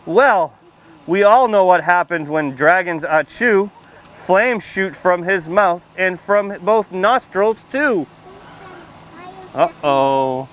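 A man in his thirties with a smooth, fairly high voice speaks to small children at an outdoor storytime. He is friendly and plain-spoken, like a visiting firefighter educator, and often turns to the children with questions. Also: animated, takes it slowly, a bit bright. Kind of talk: read-aloud storytime and casual talk to young children. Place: outdoors under a canopy on a library lawn.

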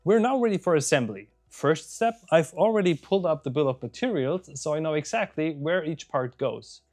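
A man's voice: fairly high pitch, animated, normal speed, darkish tone, faint music behind. A man in his thirties narrating a maker video from his workshop, explaining a build in an upbeat, technical way on a lapel mic. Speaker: maker and YouTube host. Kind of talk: scripted tech voice-over narration. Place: workshop with shelves of equipment.

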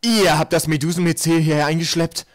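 A man's voice: a little high, fairly even intonation, fairly quick, dry recording, clean studio sound, pitched above the performer's own voice, natural voice. A man voicing an authoritative, self-assured island leader who speaks in an emphatic, insistent, indignant way. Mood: shocked, indignant.

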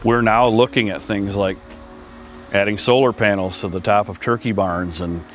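A man's voice: on the low side, fairly even intonation, moderate pace, soft background music. A man who farms, giving a testimonial in an interview. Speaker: farmer.

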